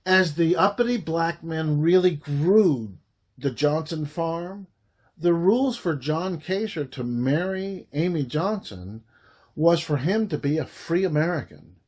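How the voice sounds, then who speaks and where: A man reading a historical story aloud as its author, in a deliberate, dramatic storytelling manner with pauses. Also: a little high, expressive, a little mellow. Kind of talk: audiobook narration of historical fiction.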